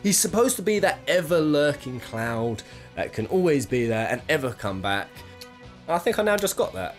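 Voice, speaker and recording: A man's voice: on the high side, very animated, normal speed, soft background music. A British man giving casual, jokey top-ten video game commentary, rambling and thinking aloud as he goes. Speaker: video game countdown host.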